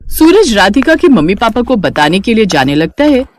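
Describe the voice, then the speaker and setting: A woman reads a scripted family tale as its narrator. Her voice sits fairly high, and the recording is dry. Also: sing-song, normal speed, no room sound.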